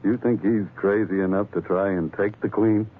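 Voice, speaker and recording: A man's voice: fairly low pitch, steady tone, moderate pace, close to an everyday voice. A man playing a scrappy, sardonic ship's first officer in a 1940s radio drama, blunt and wisecracking. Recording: muffled old 1940s radio, faint noise.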